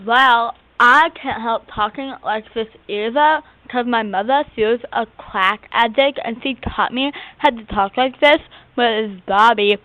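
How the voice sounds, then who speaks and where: A woman's voice: a little high, unhurried, few pauses, muffled recording, close to an everyday voice. A woman voicing a blunt, defensive debater with a lisp in an amateur comedy skit. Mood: defensive, retorting. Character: defensive, bickering debater with a funny voice. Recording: faint background noise.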